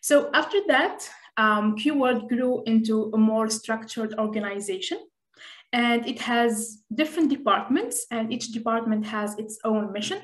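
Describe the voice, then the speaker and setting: A woman with a Tunisian accent and a fairly high voice presents on a video call. She explains things in a measured, hesitant way, and the room echoes clearly around her. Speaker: presenter, community representative. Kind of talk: online presentation. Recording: roomy video call sound.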